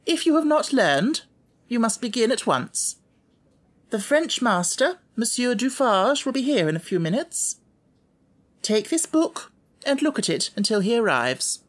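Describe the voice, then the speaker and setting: A man's voice: very high-pitched, sing-song, unhurried, a bit bright, voice pushed up, full character voice. A man voicing a severe, imposing headmistress for an audiobook, stiff, formal and tartly polite. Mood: polite tartness. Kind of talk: audiobook character voice.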